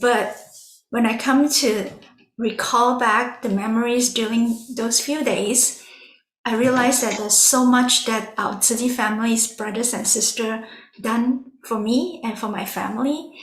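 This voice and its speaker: A woman opens a prepared sharing talk for an online audience. She speaks gently and at a measured pace, with a slightly high voice. The sound is roomy, with echo around her. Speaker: volunteer speaker.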